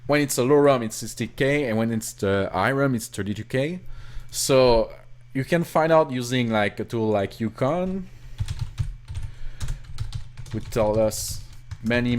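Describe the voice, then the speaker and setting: A man's voice: mid-range voice, slight background noise. A young man with a Québécois French accent, French his first language, walking through a ROM hack in a rambling, self-correcting screen-recorded tutorial at his computer. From Quebec, Canada. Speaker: ROM hacker. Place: home office.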